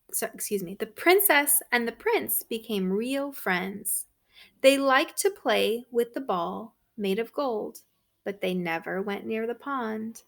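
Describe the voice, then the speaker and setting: Female storybook reader for young children, high voice, very measured pace, expressive delivery. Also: creaky. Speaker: storybook reader for third graders. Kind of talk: read-aloud storytelling for children.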